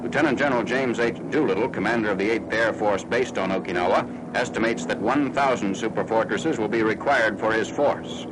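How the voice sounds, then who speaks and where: 1940s American newsreel man, noisy old soundtrack. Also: lowish voice. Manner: formal, factual, measured announcer delivery. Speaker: newsreel narrator. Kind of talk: scripted 1940s military newsreel narration.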